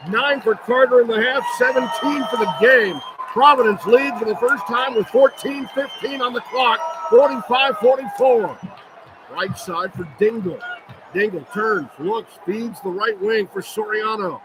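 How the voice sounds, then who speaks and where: Male radio play-by-play caller, high pitch, clipped excitable basketball delivery over loud arena noise. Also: a little slow, a crowd in the background. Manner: clipped running phrases, excitable on big plays. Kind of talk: live basketball play-by-play commentary. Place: courtside at a large indoor arena. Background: loud game ambience.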